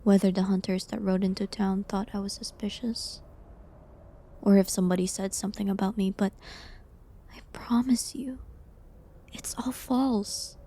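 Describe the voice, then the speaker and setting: A woman playing a gentle, sorrowful village herbalist condemned as a witch, speaking in a hushed, halting way in a dramatic audio roleplay. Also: medium pitch, steady tone, close to an everyday voice. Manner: hushed, breathy, halting delivery.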